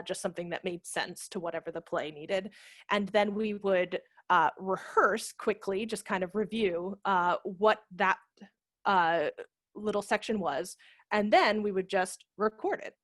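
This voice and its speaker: A woman in her thirties giving informal practical instruction on a video call, explaining with quick asides. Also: mid-range voice, no room sound, studio quality. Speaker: theatre director and workshop presenter. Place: home room with brick wall, video call. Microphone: headset microphone.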